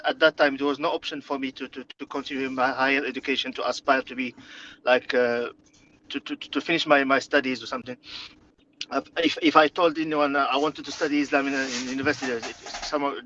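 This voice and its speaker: A man's voice: medium pitch, sharp tone, muffled recording, a little hiss or hum. A man from Morocco whose first language is Arabic, speaking hesitantly with many fillers and restarts as an interview guest. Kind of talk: conversational interview.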